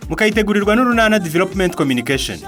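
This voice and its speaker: A man's voice: sits high, very animated, racing, a bit bright. A Rwandan man announcing a radio drama in scripted, promotional narration. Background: music.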